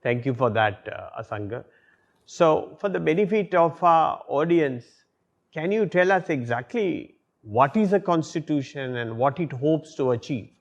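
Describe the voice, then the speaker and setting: A man hosting a policy interview, asking polite, measured questions over a video call. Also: a little high, expressive, muffled recording. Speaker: interview host.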